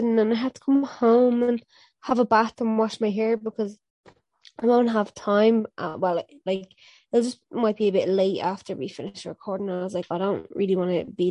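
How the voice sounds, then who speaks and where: A woman's voice: mid-range voice, mellow, no echo. A young woman co-hosting a podcast with a stuffy, blocked nose, chatting in a rambling way full of "like" and "um". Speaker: podcast co-host. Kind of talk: casual chat.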